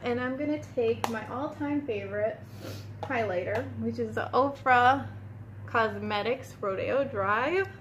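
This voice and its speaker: A woman's voice: a little high, a little hiss or hum. Chatty woman doing a makeup tutorial. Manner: chatty, talks while applying makeup, addresses viewers as "you guys". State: sniffling from a cold room. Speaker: beauty vlogger. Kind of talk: casual makeup tutorial talk. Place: indoor room at home.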